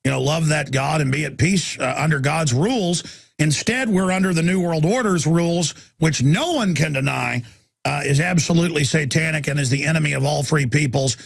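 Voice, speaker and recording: A man's voice: fairly high pitch, normal speed, creaky, husky, dry recording, studio quality. A man hosting a call-in radio talk show, talking emphatically in long rambling runs and often repeating his words.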